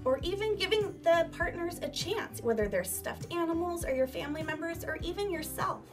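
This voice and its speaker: Female primary teacher, high voice, emphatic instructional delivery for children, music clearly behind her. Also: fairly quick. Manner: emphatic, animated teacher delivery with rhetorical questions. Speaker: elementary school teacher. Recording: distant microphone, music behind.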